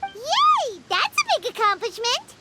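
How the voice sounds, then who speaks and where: Squeaky, very high fairy companion. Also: female voice, huge pitch swings, a little slow, very noisy, exaggerated voice. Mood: delighted. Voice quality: squeaky, childlike. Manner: refers to self in third person, exclaiming. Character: tiny childlike floating fairy companion, excitable and blunt.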